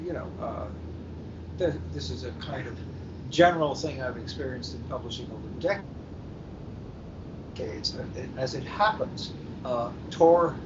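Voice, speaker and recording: A man speaking as a guest editor on a panel, in a measured, deliberate and somewhat formal way with halting pauses. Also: medium pitch. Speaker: science fiction book editor, panel guest. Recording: muffled, noisy live hotel internet-call recording, distant.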